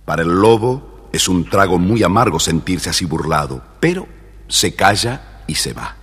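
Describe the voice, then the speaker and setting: A man with a low, deep voice tells a children's tale as a dramatic narrator. His pitch moves expressively, and the record has long reverb and faint noise. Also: sing-song, moderate pace. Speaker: storyteller narrator. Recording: reverberant, slightly noisy story record.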